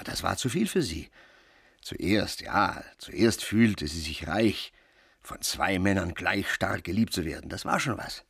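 An actor in a literary radio play playing a melancholy, sardonic man who rambles and tells stories in a husky, creaky voice. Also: low voice, no echo, studio quality, exaggerated voice. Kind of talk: literary radio drama acting.

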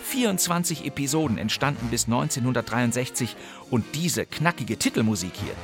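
A man presenting a scripted but conversational introduction as a podcast host, playfully addressing his listeners directly. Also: mid-range voice.

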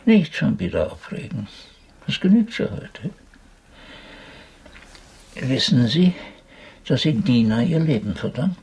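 An actor playing a soothing, patronising physician of old Vienna in a radio drama, placating and professional. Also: male voice, medium pitch, big pitch swings, a little slow, creaky, pauses often, darkish tone. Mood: soothing, explaining.

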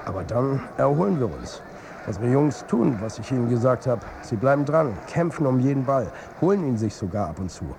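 A man's voice: medium pitch, big pitch swings, a little fast, gravelly, background noise. An actor playing a wry, weary football coach and family man, narrating a radio drama in a colloquial, confiding way. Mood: wry, building hope. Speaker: narrator and protagonist.

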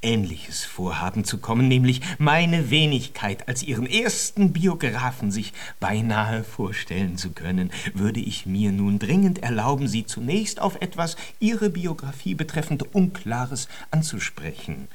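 A man's voice: mid-range voice, big pitch swings, measured pace, hardly any pauses. An actor playing a fawning, long-winded male biographer in a German radio drama, ornate and ceremonious in manner. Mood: deferential, probing.

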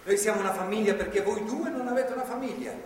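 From far off in a reverberant hall, a man plays a middle-aged, paternalistic man of faith. He lectures firmly and deliberately, with the self-righteous authority of a theatrical speechmaker. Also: high voice, natural voice. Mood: firm, patronising. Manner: measured, preachy, authoritative. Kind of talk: theatrical stage acting, speechmaking. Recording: echoey theatre hall, distant mic, faint noise.

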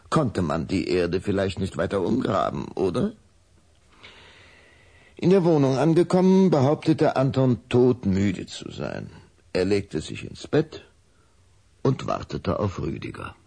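A man narrating a scripted children's radio story in an expressive, dramatic manner. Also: lowish voice, gravelly, husky.

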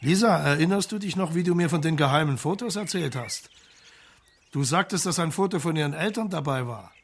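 Husky, patient police marshal. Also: male voice, a little high. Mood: gently inquiring. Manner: probing, deliberate questioning. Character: thoughtful Italian police marshal, patient questioner. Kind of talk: German radio crime drama acting.

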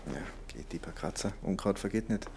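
Banged up engineer in a radio play, low creaky voice, offhand, bit of background noise. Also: male voice, brisk, darkish tone. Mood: wry, downplaying. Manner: offhand, wry, colloquial. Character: laconic wounded male rocket technician in hospital, wry and suspicious. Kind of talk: naturalistic radio drama.

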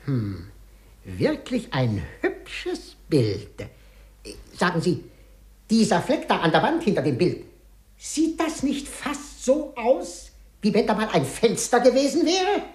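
A rattled, suspicious office boss in an old radio drama. He speaks slowly and formally, with halting phrases and big pitch swings, in a roomy recording. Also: huge pitch swings, darkish tone, heavily acted. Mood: shaken, suspicious. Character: shaken, suspicious male office director, faltering and formal. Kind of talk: 1950s German radio play acting.

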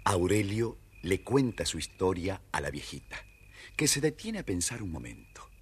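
A man narrating a children's fairytale theatrically in a husky voice. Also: very animated, moderate pace. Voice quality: husky, deep. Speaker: storyteller, narrator.

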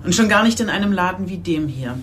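An actress playing a tough, commanding chief police inspector, brusque and direct, in an audio drama. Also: female voice, fairly low pitch, animated, fast talker, a little hiss or hum, lightly acted. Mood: probing, calm.

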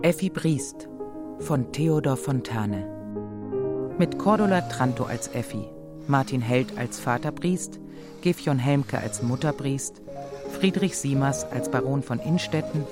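A radio announcer reads out cast credits in a formal style. The voice sits at a middle pitch. Loud music plays behind it. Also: animated, slow.

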